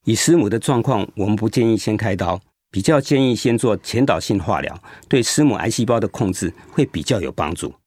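An actor playing a kind, steady male oncologist in a radio drama, explaining things in a reassuring way. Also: fairly low pitch, brisk, few pauses, dry recording, clean studio sound. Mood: reassuring, firm. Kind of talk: Mandarin radio drama acting.

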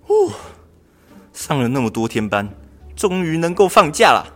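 A young man acting a high-voiced, anxious clerk. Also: sing-song, normal speed. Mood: carefree, puzzled. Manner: exclamatory, pleading. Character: anxious, cheeky, lazy male government clerk in ancient China. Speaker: student voice actor in a radio drama. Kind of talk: amateur radio drama acting. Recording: music under the voice.

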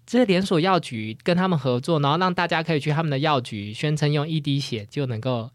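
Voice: mid-range voice, a little fast, no room sound, clean studio sound. Joking podcast host with Taiwanese Mandarin accent. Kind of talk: casual chat and storytelling.

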